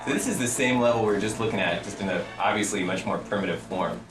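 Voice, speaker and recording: A man's voice: fairly low pitch, fast. A man who works as a game designer, giving casual commentary over game footage with a joking, playful, dry manner. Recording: roomy, distant mic with game music. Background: game music.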